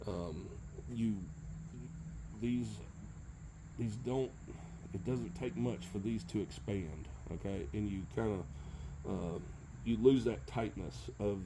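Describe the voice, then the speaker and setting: A man's voice: mid-range voice, dark tone. Plain-spoken man over background noise. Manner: halting, plain-spoken, checks with "okay". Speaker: how-to video presenter. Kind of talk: casual hands-on tutorial. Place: truck bed by a cluttered garage or workshop.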